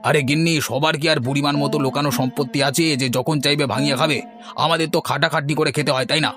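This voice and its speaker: A man's voice: on the high side, fairly even intonation, racing, music in the background, natural voice. A man voicing a hard-pressed village farmer husband in a cartoon, grumbling and placating. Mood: protesting. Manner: protesting, placating. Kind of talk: Bengali cartoon dubbing.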